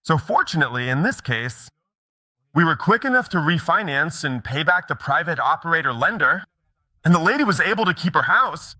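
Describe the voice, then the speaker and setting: Middle-aged guy telling stories at a conference, keeps asking the crowd "okay?", really bright tone, slightly high voice. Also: sing-song, brisk, dry recording. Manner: chatty, checks in with the audience. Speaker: mortgage broker giving a conference talk. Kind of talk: conversational conference storytelling.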